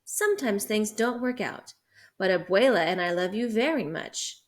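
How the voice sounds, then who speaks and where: A woman voicing a loving mother who gently reassures her son, in a storybook read-aloud. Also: mid-range voice, lively intonation, normal speed, lightly acted. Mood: gentle.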